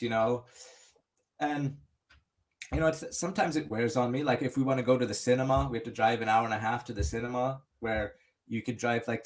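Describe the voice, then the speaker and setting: Man, casual podcast storyteller, loose and rambling, lots of restarts. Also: medium pitch. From United States. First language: English. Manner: rambling, many restarts and "you know". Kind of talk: casual chat.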